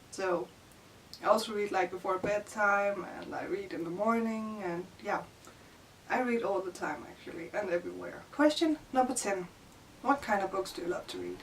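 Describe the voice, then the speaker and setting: A woman's voice: medium pitch, far from the mic, slight background noise, poor audio. Shy young Danish-accented woman vlogging. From Denmark. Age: young adult. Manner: shy, hesitant, many fillers like "like" and "you know". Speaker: book vlogger. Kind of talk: casual vlog answering tag questions.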